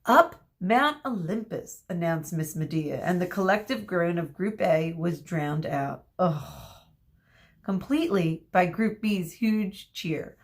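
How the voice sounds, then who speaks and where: A woman narrating a children's story aloud like a teacher, expressive, dramatic and deliberate. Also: lowish voice. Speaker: teacher reading aloud to students.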